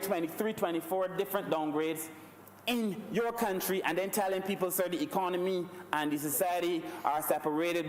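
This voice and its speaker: A man's voice: high-pitched, slight background noise. Barbadian man debating in parliament. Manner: rhetorical, repeatedly addressing the Speaker as sir. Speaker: member of parliament. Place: large parliament chamber. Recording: echoey chamber, distant mic.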